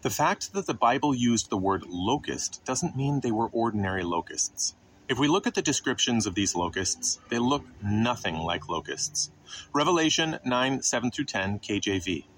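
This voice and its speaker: A man's voice: medium pitch, lively intonation, normal speed, fairly bright tone, a little hiss or hum, poor audio. A man narrating scripted Bible exposition in a didactic way, posing questions and then answering them. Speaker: Bible teacher narrating a podcast.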